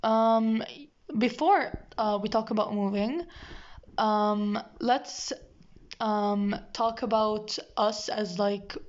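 A woman's voice: medium pitch, fairly even intonation, slow. A teenage girl co-hosting a casual podcast chat, a native Arabic speaker talking in English, rambling and hesitant with lots of ums. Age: teens. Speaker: podcast co-host. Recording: dull lo-fi audio.

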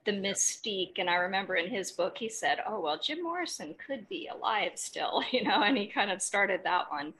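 A woman's voice: medium pitch, expressive, moderate pace, hardly any pauses, a bit bright, slight background noise, low-quality recording. A woman hosting a podcast interview in a conversational style. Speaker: podcast host.

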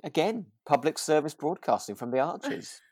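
A man's voice: fairly high pitch, big pitch swings, moderate pace, darkish tone, dry recording, clean studio sound. A man co-hosting a casual podcast about a radio drama, talking in a hesitant, rambling way. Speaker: podcast co-host.

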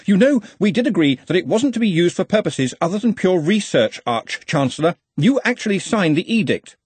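A man's voice: sits high, big pitch swings, brisk, darkish tone, husky, dry recording, voice pushed up, heavily acted. A man voicing an anxious, pedantic, long-suffering wizard's assistant in a storybook, fussy and deferential. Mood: fussy explaining.

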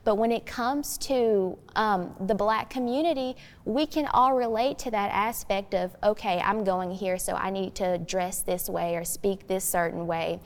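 A woman's voice: medium pitch, measured pace, few pauses. A teenage girl giving thoughtful discussion as a youth guest, speaking in an articulate, earnest, explanatory way. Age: teens. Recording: long hollow room reverb.

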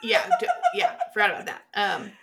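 A woman's voice: medium pitch, fairly even intonation, fairly quick, vocal fry, very noisy. A woman co-hosting a casual film review chat, answering in dry, teasing retorts. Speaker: podcast co-host.

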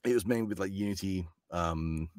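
A man from the United Kingdom, guesting as a voice actor on a relaxed podcast. He talks in an animated way, laughs a lot, and pops into comic voices when he quotes people. His sound is dry and close to the mic. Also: low voice, normal speed, dry recording. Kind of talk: casual podcast chat.

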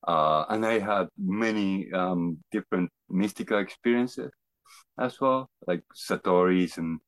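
Deep-voiced man from Argentina, halting and reflective. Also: no echo, poor audio. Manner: halting, reflective, searching for words. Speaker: interview guest. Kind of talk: personal testimony in conversation.

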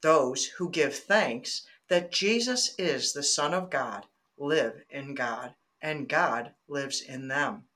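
A woman's voice: extremely low pitch, slow pace, few pauses. A woman from Michigan, a guest on a faith podcast, chatting earnestly and reading scripture aloud. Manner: earnest, animated, laughs while telling stories.

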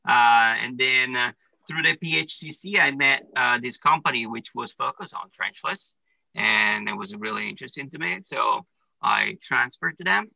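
A man's voice: medium pitch, moderate pace, gravelly, pushed and bright, dry recording, low-quality recording. A man from Italy with an Italian accent, a plumbing business owner answering interview questions casually, with many fillers. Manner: explains step by step with many "uh" and "you know" fillers.

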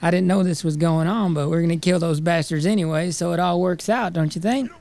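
An actor playing a volatile, scheming frontier timber man, with an American accent and rough, folksy speech. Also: a little high, a little fast, darkish tone. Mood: grimly eager. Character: volatile, hard-bitten frontier brother, a scheming timber claimant. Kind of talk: dramatic film dialogue reading.

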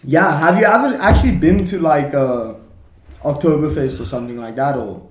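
A man with a fairly high voice hosts a relaxed conversation, chatty and quick to laugh between his remarks. The room gives his voice an echoey ring. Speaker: podcast host. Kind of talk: casual chat. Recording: roomy echo.

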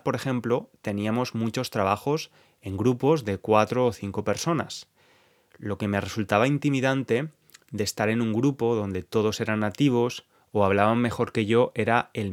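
A young man from Spain with a Spanish accent, hosting a podcast and explaining things clearly and calmly to Spanish learners. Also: lowish voice. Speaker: podcast host teaching Spanish. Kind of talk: scripted podcast monologue for learners.

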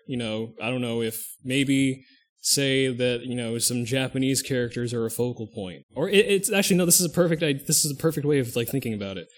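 A man co-hosting a podcast in casual chat, rambling and often stopping to correct himself mid-sentence. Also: mid-range voice. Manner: rambling, thinks aloud, restarts and self-corrects often. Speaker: podcast co-host.

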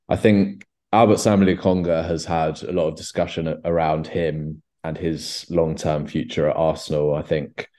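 A young man with an extremely deep, low voice hosts a relaxed football podcast. He rambles through long points, full of ums, restarts and asides. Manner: rambling, many restarts and fillers. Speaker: podcast host. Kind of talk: casual football podcast chat.

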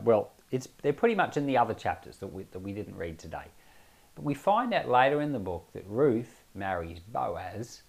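A man speaking as a pastor, reading scripture aloud and explaining it informally, with expressive and emphatic phrasing. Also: mid-range voice.

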